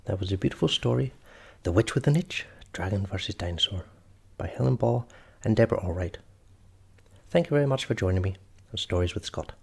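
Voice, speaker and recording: A man's voice: lowish voice, expressive, a little fast, vocal fry, pauses often, breathy edge. A man reading a children's story aloud expressively, as storyteller and host.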